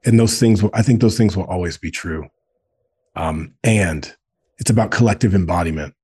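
An earnest man in his forties, his voice husky and a little low. He talks in a loose conversational flow, circling back and repeating phrases to drive a point home. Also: normal speed, mellow. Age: 40s. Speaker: guest, men's group co-founder. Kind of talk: casual podcast conversation.

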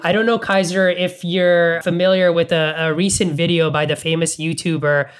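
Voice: mid-range voice, fairly even intonation, moderate pace, clean tone. A podcast host from Bangladesh, talking in a casual, enthusiastic way to a guest, often repeating words while thinking aloud. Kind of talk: casual interview conversation.

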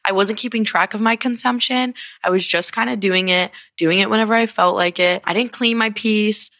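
A congested young woman from Connecticut hosts her own podcast, talking in a loose, rambling stream with plenty of filler words and swearing. Her pitch sits in an ordinary middle range, and the recording is dry and close, with no room sound. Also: fairly even intonation, moderate pace, a bit bright, dry recording. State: sniffly, congested. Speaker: podcast host. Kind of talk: casual solo podcast talk.

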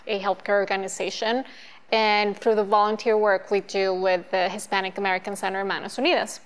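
A woman from Colombia, a nonprofit board member, speaking earnestly and in measured phrases in a community TV interview. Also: medium pitch.

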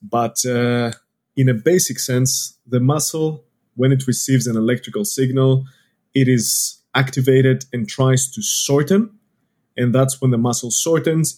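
A man from Greece with a Greek accent, a scientist guest calmly explaining things step by step in an interview. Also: medium pitch, measured pace. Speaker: podcast guest, exercise scientist.

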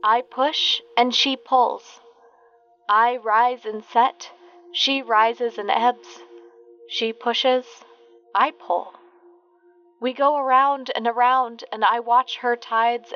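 A woman voicing the moon as a gentle, lovestruck female in a spoken fairy tale, with slow, earnest storybook telling. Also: fairly high pitch, fairly even intonation, lo-fi sound.

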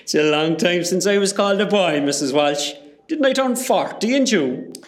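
Man acting a high-voiced, bantering Cork porter. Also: animated, normal speed. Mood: teasing. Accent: West Cork Irish. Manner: bantering, chatty. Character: teasing, easygoing forty-year-old male hospital porter. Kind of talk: amateur comedy radio play acting.

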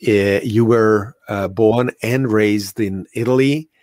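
Slow, halting male podcast host. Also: fairly low pitch, a little mellow, no echo. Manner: slow and halting, many uh fillers. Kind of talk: live interview.

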